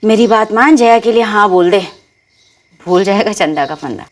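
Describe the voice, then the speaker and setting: A woman's voice: medium pitch, normal speed, lightly acted. An actress playing a persuasive brothel madam in a TV crime drama, coaxing and pushing her offer. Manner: coaxing, sales-minded.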